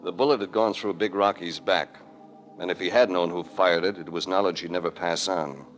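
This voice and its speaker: Low-voiced, hard-boiled radio detective played by a man. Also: steady tone, moderate pace, soft background music, lightly acted. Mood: grim narration. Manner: terse, clipped, authoritative. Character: cool, commanding male investigator, hard-boiled and shrewd. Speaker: lead actor and narrator. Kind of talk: 1940s-50s radio detective acting. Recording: vintage radio drama recording.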